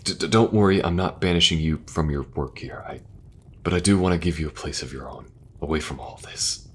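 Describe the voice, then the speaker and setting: A man playing an earnest young king who speaks gently and falters a little when things get awkward. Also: sits low, brisk, breathy edge. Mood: warm. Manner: gentle, soft-spoken, faltering at awkward moments. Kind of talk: audio roleplay acting.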